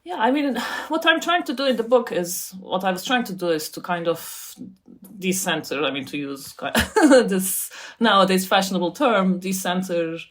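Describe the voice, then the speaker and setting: A woman's voice: mid-range voice, animated, normal speed. A woman from Turkey speaks as an author on a podcast, in a middle register. She thinks aloud, restarts her sentences and laughs lightly and often. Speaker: author and scholar guest. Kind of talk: casual interview talk.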